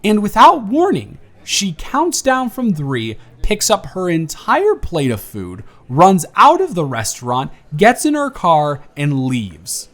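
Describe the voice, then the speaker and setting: Man acting a giddy, animated blind date guy. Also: high voice, slow pace, few pauses, full character voice. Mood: exasperated, embarrassed. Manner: animated, bantering, playful storytelling with stammers. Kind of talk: scripted romantic audio roleplay, one-sided dialogue to the listener.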